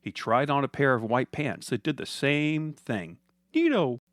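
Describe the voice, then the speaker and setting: A man's voice: medium pitch, a little slow, a bit bright, dry recording, clean studio sound. A man reading a scripted comic story as its narrator, in the style of a storytelling podcast.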